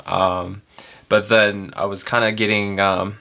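Low, gravelly young man, halting speech. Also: level tone, sharp tone. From Ethiopia. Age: 20s. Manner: halting, hesitant delivery with frequent filler words. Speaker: elite runner, interview guest. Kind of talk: casual chat.

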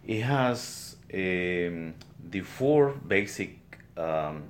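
An older man with a Guatemalan Spanish accent recounts his life as a weaver in an interview, speaking plainly and haltingly in his studio. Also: low-pitched, expressive, noisy background. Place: weaving studio, indoors.

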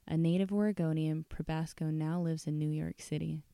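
Low, very mellow woman podcast host. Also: steady tone, normal speed, creaky, clear, resonant tone, no room sound, studio quality. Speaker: podcast host and story reader. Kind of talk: casual chat and storytelling narration.

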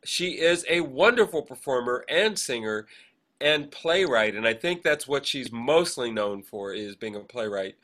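Bright, forceful-voiced man chatting on a podcast. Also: mid-range voice, animated, unhurried. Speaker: podcast co-host. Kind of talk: casual chat, rambling birthday shout-outs.

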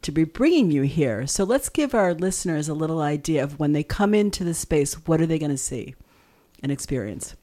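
A woman's voice: deep voice, expressive, brisk, dark tone. A woman co-hosting a casual radio interview, responding to her guests and steering the conversation. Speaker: radio show co-host, library staff.

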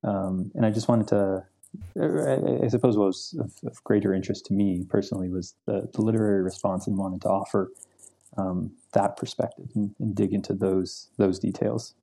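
Deep flat-voiced young scholar, a man. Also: normal speed, gravelly, husky. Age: young adult. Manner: thoughtful, hesitant with many ums and repeats. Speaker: guest, literature professor. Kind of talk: interview answers.

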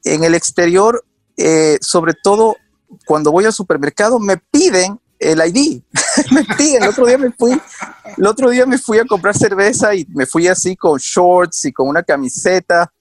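Hombre de cuarenta, acento quiteño, invitado de entrevista, voz algo aguda, sonido seco. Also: male voice, sits high, moderate pace, no room sound. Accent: Quito Ecuadorian Spanish. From Ecuador. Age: 40s. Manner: animated, laughs often, many fillers. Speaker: guest. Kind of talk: casual interview chat.

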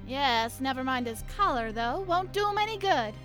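A woman playing a brisk, bossy private detective in a 1950s radio drama style. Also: sits high, lively intonation, a bit bright, faint music behind. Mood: urgent.

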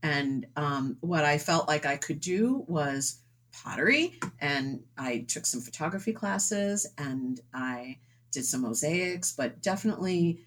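A woman's voice: low-pitched, lively intonation, unhurried. A woman guest, a painter who trained as a doctor, answering interview questions thoughtfully with frequent ums and uhs. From suburbs of New York City. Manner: hesitant, many ums and uhs. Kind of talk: casual interview chat.

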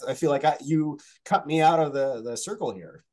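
A man's voice: on the high side, fairly quick, a little mellow. A man who is a regular co-host on a podcast, chatting casually in an easygoing way. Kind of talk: casual conversational chat.

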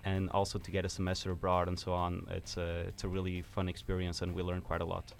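A man's voice: low-pitched, monotone, fast, vocal fry. A young man from Portugal, a student guest on a radio interview, explaining things earnestly with some hesitation.

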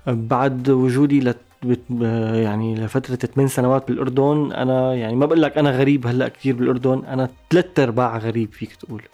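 A man with a Syrian Arabic accent, a musician giving a thoughtful interview, pausing and restarting mid-phrase. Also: mid-range voice, fairly even intonation, mellow, faint music behind. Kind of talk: reflective interview talk.

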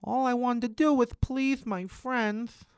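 A woman's voice: fairly high pitch, fairly even intonation, unhurried, noisy background. A woman playing an earnest, filthy schoolboy cook who is eager to please, in a comic radio play. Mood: hurt, pleading.

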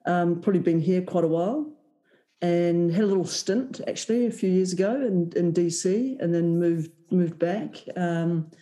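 A woman's voice: on the low side, moderate pace, warm tone. A woman with a New Zealand accent talking as a creative director guest, rambling with many ums. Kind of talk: casual interview.

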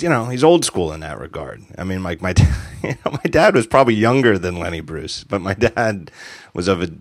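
Low-voiced man chatting animatedly. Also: dry recording. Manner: animated, rambling with restarts. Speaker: podcast host. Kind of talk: casual chat.

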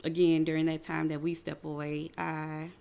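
A woman co-hosting a podcast, speaking reflectively and haltingly with many fillers. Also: sits low, completely flat delivery, creaky, mellow, clear, resonant tone. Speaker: podcast co-host. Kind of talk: casual chat.

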